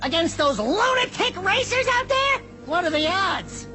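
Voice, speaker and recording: An actor playing an excitable, cheeky little sidekick in a video game, sarcastic and full of whiny bravado. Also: male voice, extremely high pitch, a little slow, loud music behind the voice, heavily acted. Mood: nervous bravado.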